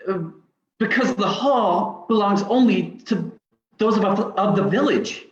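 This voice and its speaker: A man playing a stubborn, secretive village traditionalist with halting, archaic speech in an old-time radio drama. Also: high voice. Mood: defensive, wary. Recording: dull, echoey lo-fi audio.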